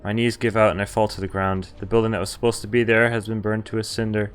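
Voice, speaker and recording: A man's voice: fairly low pitch, flat delivery, fast, faint music behind. A man streaming a visual novel, reading the game text aloud and breaking off into casual asides and reactions. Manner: reacts with asides between read lines.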